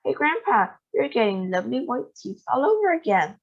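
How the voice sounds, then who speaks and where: A woman voicing an excited young boy who shouts in wonder. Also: fairly high pitch, moderate pace, vocal fry, highs cut off, voice pushed up, full character voice.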